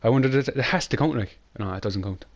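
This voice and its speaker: A young Irish man chatting quickly and rambling while he plays through a platform game. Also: mid-range voice, noisy background. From Ireland. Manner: fast, rambling, chatty with Irish phrasing like "class". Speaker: gaming channel host. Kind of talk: casual gameplay commentary. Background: game music.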